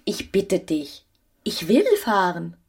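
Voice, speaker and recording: A woman's voice: on the low side, slow pace, vocal fry, husky, dry recording, clean studio sound, exaggerated voice. An actress playing a passionate, scheming young married woman in a literary radio drama. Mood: insistent, calculating.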